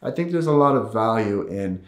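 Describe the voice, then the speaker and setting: A man's voice: lowish voice, lively intonation, normal speed. A man with an American accent talking casually to his viewers as a vlogger. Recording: roomy echo.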